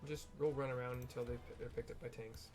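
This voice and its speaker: Guy talking through a video game raid, casual and halting, explains what's on screen, music playing behind him. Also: mid-range voice, fairly even intonation, a little mellow. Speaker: gamer narrating a raid playthrough. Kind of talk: casual game commentary with visual description.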